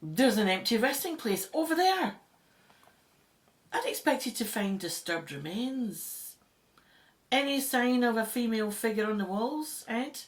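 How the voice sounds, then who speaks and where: A commanding woman Egyptologist played by an actress in an audio drama, by turns brisk and full of wonder. Her pitch moves widely and she takes her time over the words. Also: animated. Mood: businesslike.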